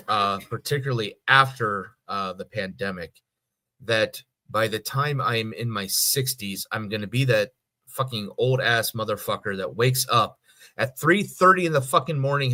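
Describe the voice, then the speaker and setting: A man's voice: medium pitch, pushed and bright. A middle-aged American man chatting casually as a podcast co-host, rambling and swearing freely. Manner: rambling, profane. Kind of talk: casual chat.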